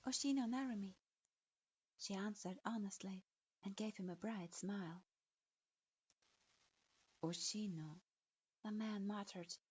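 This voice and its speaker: A woman narrating fan fiction in a slow, measured reading. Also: mid-range voice, fairly even intonation, a little mellow, husky. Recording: dull lo-fi recording.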